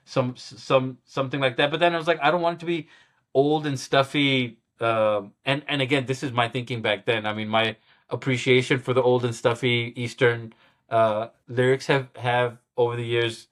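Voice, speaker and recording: A middle-aged man with an ordinary mid-pitched voice, speaking as a guest in a relaxed interview. He talks in a halting way, restarting and repeating words as he gathers his thoughts, and often gives a small laugh at himself. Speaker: guest musician. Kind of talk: casual interview storytelling.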